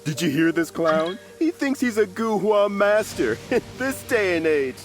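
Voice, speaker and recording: A man's voice: high voice, big pitch swings, a little slow. A man voicing a bullying thug enforcer in a video game, scornful and given to derisive laughter. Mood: mocking.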